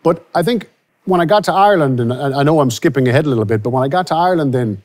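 A man's voice: fairly high pitch, animated, racing, darkish tone. A man in his forties from Louisiana, a country singer being interviewed, speaking reflectively with frequent restarts, recorded on a studio set with a lapel mic. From Louisiana, USA. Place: studio with starry backdrop.

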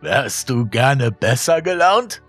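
A man voicing an eerily cheerful ragged stranger in a horror story, with a drawn-out, sugary delivery. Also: mid-range voice, sing-song, a bit bright, dry recording, soft background music, pitched above the performer's own voice, heavily acted. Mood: beaming, enticing.

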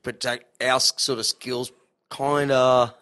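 An Australian man hosting a podcast, chatting in a halting way and often repeating himself. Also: medium pitch, little pitch movement, fairly bright tone. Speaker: podcast host. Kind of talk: casual chat.

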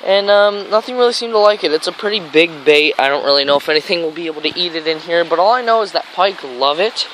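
Bright, higher-pitched American man outdoors. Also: expressive, fast talker, few pauses, low-quality recording. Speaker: fishing vlogger. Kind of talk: casual outdoor vlog narration. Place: outdoors on a grassy riverbank. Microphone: handheld camera mic. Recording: outdoor handheld camera, stream and traffic noise.

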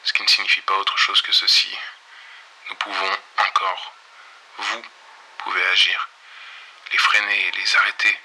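A man playing a lone, hunted pirate-radio broadcaster in a dystopian audio fiction, grave, deliberate and breathy. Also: deep voice, monotone, sharp tone, full character voice, low-quality recording. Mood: defiant.

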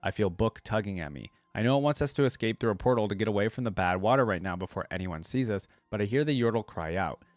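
Young guy reading a story n chatting about it, lowish voice, really dry mic. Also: animated, quick pace, few pauses, dry recording. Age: young adult. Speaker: reaction video host. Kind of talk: reading a story aloud with casual commentary.

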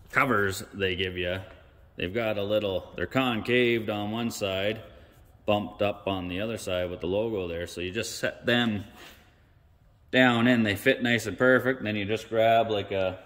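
A man's voice: on the low side, in a large hall. A man casually talking through a carburetor rebuild at his garage workbench, thinking aloud with folksy slang. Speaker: mechanic explaining a carburetor rebuild. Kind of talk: casual hands-on workshop narration. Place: indoor garage workshop at a workbench.

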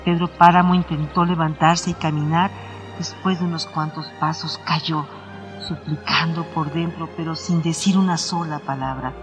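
A man's voice: fairly high pitch, fairly even intonation, normal speed, muffled recording. Male radio drama narrator over music. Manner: literary storytelling.

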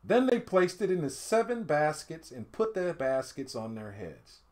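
Middle aged guy doing an animated storybook read-aloud, kinda high voice, really up and down. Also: moderate pace. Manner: expressive, dramatic swells and hushed asides to listeners. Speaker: storyteller reading a picture book. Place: indoor home studio room.

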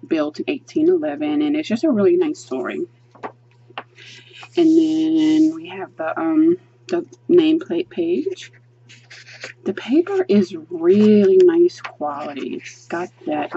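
A woman's voice: fairly low pitch, dark tone, lo-fi sound, slight background noise. Chatty woman gushing over a coloring book. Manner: chatty, gushing, thinks aloud with many ums. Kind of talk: casual book flip-through review. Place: indoors at a table, hands seen flipping pages.

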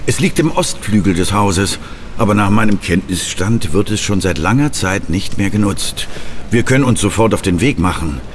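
Actor as low-voiced troubled aristocrat. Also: male voice, animated, moderate pace, slight background noise, close to an everyday voice. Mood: courteous. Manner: polite, formal. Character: troubled, courteous aristocratic lord of a castle. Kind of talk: German audio drama acting.

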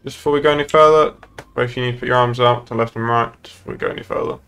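A man's voice: medium pitch, steady tone, racing, a bit bright, clean tone. A man chatting casually in character as an officer in an online roleplay game. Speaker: police roleplay gamer.

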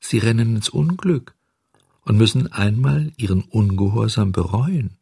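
A man voicing a tiny, preachy hundred-year-old cricket in a storybook, with a thin, whispery lisp and a gentle, moralizing manner. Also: fairly low pitch, lively intonation, measured pace, vocal fry, slightly rough, no room sound, studio quality, exaggerated voice. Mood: gentle, admonishing. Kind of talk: storybook audiobook character voice.

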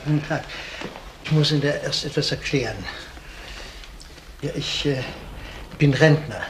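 An actor playing a courteous, hesitant elderly pensioner with a formal way of talking in a German crime radio play. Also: male voice, mid-range voice, a bit bright, a little hiss or hum, lightly acted. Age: 60s or older. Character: polite, fussy old male pensioner and hobby photographer.

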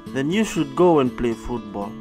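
An actor playing a caring middle-aged dad, gentle and encouraging, in an animated comic. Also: male voice, mid-range voice, moderate pace, faint music behind, lightly acted. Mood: concerned. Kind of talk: animated motion comic acting.

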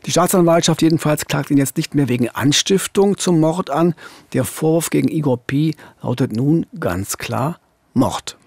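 A man's voice: a little high, moderate pace, a little mellow, husky, no echo. A man in his fifties telling true crime cases in a conversational, narrated podcast style as host. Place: small dark radio studio, indoors. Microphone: studio microphone on a boom arm, close.

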